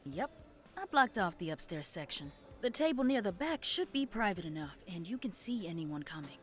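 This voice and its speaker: A woman playing a brisk, friendly mother who works a café counter in an audio drama. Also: fairly low pitch, big pitch swings, fairly bright tone, soft background music. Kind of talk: naturalistic audio drama dialogue.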